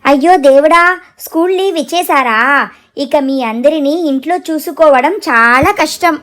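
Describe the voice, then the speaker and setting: Woman playing fretful cartoon mom, very high-pitched, exclamatory, flustered, family story. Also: normal speed, natural voice. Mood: dismayed. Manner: exclamatory, fretting. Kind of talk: animated family story dialogue.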